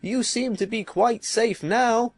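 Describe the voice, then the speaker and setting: A man's voice: very high voice, takes it slowly, no echo, heavily acted. A man playing a cheerful, mild-mannered living scarecrow in a dramatized storybook, amiable and folksy. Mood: reassuring.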